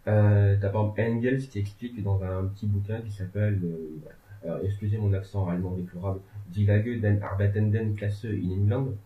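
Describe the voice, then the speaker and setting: Guy with a lowish voice chatting politics, lots of euh and asides, sounds tinny like a phone. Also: fairly even intonation, a little fast, echoey room. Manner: rambling, many hesitations, joking asides. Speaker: legal and political commentator. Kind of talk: casual discussion.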